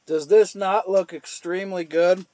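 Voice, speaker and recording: A man's voice: sits high, fairly even intonation, unhurried, dark tone. A man in his thirties hosting a rowdy, goofy cooking livestream in a small kitchen, exuberant and given to whooping. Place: small camper kitchen, indoors.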